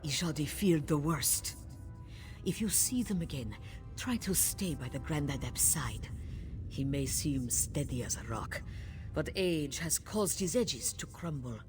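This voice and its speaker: Grave, dignified cat-folk villager. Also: female voice, low-pitched, expressive, over music. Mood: grave, resolute. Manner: solemn, measured storytelling. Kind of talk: scripted fantasy game dialogue.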